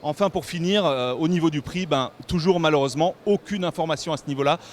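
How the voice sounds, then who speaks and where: A French man with a slightly high voice presents a tech product in a semi-improvised, explanatory style. He hesitates and rephrases as he goes. The recording carries light background noise from a trade show floor. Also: fast talker. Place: busy trade show hall.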